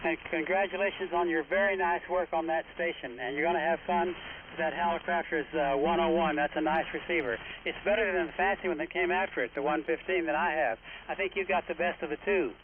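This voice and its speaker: A man's voice: fairly high pitch, steady tone, a little fast. An American man chatting casually as net controller in an amateur radio exchange. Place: voice heard over amateur radio link. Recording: tinny shortwave radio, clear static noise.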